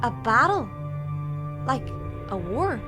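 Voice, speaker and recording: An actress plays a plucky young female student in an audio drama. Her voice is high and takes its time, cheeky and curious, over a music bed. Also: sing-song, takes it slowly. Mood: concerned. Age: young adult.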